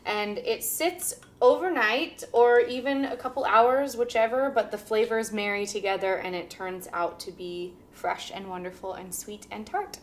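Woman in her thirties, cooking show host, mid-pitched, upbeat and instructive, kitchen demo. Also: normal speed, few pauses, a little hiss or hum. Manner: upbeat, instructive, laughs often. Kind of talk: casual cooking demonstration. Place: home kitchen, indoors.

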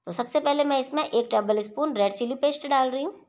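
A woman hosting a home cooking channel, talking viewers through a recipe step by step in a steady instructional voice-over. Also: sits high, brisk.

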